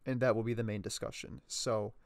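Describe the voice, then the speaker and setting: A man's voice: on the low side, little pitch movement, a little fast, gravelly, no echo, studio quality. A man hosting a podcast in a casual, chatty style. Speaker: podcast host.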